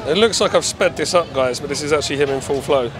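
A man's voice: on the high side, fast. Chatty man at a night market. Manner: chatty, enthusiastic, addresses viewers as guys. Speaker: food vlogger. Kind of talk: casual street food vlog. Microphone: handheld camera at arm's length. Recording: faint outdoor market noise.

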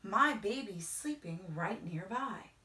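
Slow, lively woman reading to children. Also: medium pitch. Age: middle-aged. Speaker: storyteller reading a picture book aloud. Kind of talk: expressive children's read-aloud with hushed whispers. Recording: roomy indoor echo.

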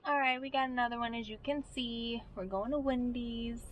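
A woman's voice: a little high, steady tone, moderate pace, distant mic, dull audio, over loud music. A woman delivery driver narrating a casual ride-along, chatty and repeating a set catchphrase as she heads to each pickup. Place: inside a car. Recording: noisy car recording.

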